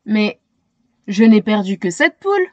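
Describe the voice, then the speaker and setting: A woman acts out a kindly bearded man from a children's story. The delivery is exclamatory and good-humoured, swinging widely in pitch. The sound is close and dry. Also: very animated, a little slow, a little mellow, dry recording, highs cut off. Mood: puzzled. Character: bearded man, kindly, generous truck-driving hen owner.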